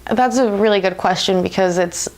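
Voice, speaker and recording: Young American woman with a creaky voice. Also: medium pitch, moderate pace, darkish tone. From United States. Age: 20s. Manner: informal, often laughs while talking. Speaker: interviewee. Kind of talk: reflective, conversational personal interview.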